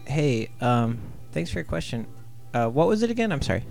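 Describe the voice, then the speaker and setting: Gravelly, laid-back man in his thirties. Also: medium pitch, soft background music. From Ohio, USA. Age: 30s. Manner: laid-back, deadpan humor, halting with many "uh"s. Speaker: guest artist. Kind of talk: casual interview answers.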